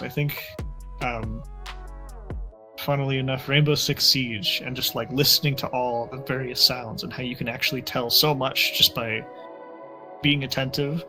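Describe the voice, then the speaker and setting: A man's voice: medium pitch, bright, forceful tone. A young man answering interview questions in a casual, reflective and self-deprecating way. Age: young adult. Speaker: interview guest.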